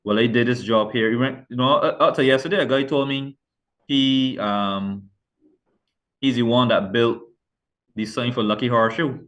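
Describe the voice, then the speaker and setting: A man's voice: mid-range voice, fairly even intonation, moderate pace, a bit bright, muffled recording. A middle-aged man from Barbados with a Barbadian accent, talking as an author guest in a casual interview, earnest and rambling with many restarts. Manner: rambling, self-correcting, earnest.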